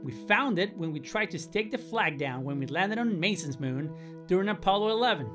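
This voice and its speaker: Cocky, swooping star golfer. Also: male voice, sits high, lively intonation, brisk, music in the background. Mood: storytelling, dramatic. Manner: boastful, theatrical. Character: cocky, dramatic male star robot golfer. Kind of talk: anime-style video game voice acting.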